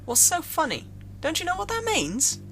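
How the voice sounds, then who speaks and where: A woman's voice: extremely high pitch, wildly swooping pitch, normal speed, fairly bright tone, a little hiss or hum, voice pushed up, heavily acted, low-quality recording. A woman voicing a playful, dramatic young boy who grumbles, in an acted story reading. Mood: indignant.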